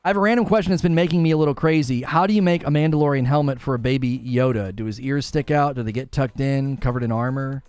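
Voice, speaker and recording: Clear-voiced man chatting on a gaming livestream. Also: mid-range voice, quick pace, few pauses, a little mellow, no room sound, soft background music. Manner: informal, slangy, addresses viewers directly. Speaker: gaming podcast host and livestreamer. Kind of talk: casual livestream chat, reading viewer comments aloud.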